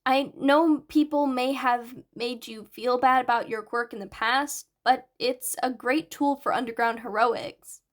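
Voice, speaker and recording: A woman's voice: on the high side, fairly even intonation, a little slow, hardly any pauses, natural voice. A woman voicing an eager, analytical teenage boy in an audiobook, with an earnest, explanatory manner. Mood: earnest explaining. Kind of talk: audiobook character dialogue.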